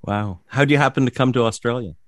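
A man's voice: mid-range voice, quick pace. A man hosting a radio interview show, speaking in a friendly, plain manner.

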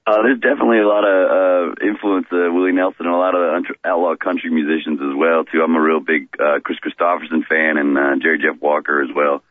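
A man with a remarkably deep voice speaks as a radio guest, easing through his answers with fillers and restarts. The sound comes down a dry, tinny phone line. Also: no room sound. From Florida, raised in rural Maryland. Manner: relaxed, unhurried, with many fillers and restarts. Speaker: touring musician guest.

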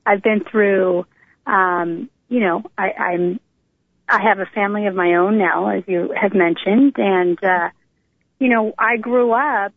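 Creaky-voiced American woman on the phone. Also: medium pitch, slow, no room sound. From Northern California, United States. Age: middle-aged. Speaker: guest sharing her life story. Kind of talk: personal storytelling in a casual interview. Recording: narrow tinny phone line.

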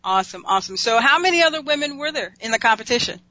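Bright, chatty woman talk-show host. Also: a little high, animated, very fast, no echo, lo-fi sound. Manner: chatty, enthusiastic, repeats words.

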